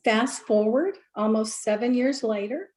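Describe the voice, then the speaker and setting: A woman in her sixties from Louisiana, earnestly sharing a personal testimony on a video call, with many pauses and fillers. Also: on the high side, darkish tone. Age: 60s. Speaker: guest giving a testimony.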